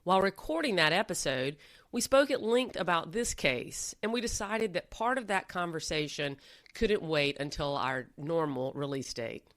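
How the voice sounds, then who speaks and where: A woman's voice: lowish voice, expressive, normal speed, a bit bright. A woman podcast host delivering a scripted introduction.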